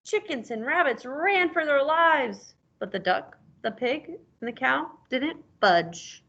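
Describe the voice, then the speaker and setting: A woman's voice: high-pitched, animated, takes it slowly, creaky, a bit bright. A woman reading a picture book aloud as a teacher, stopping conversationally to ask questions and explain words, on a dull lo-fi recording.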